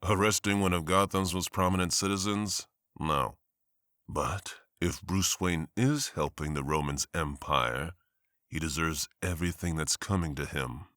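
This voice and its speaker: A hard-bitten lawman with a very deep voice, recorded dry. He is blunt, wry and cynical, in a motion comic drama. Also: unhurried, a bit bright, no room sound. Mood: stern. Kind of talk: scripted motion comic acting.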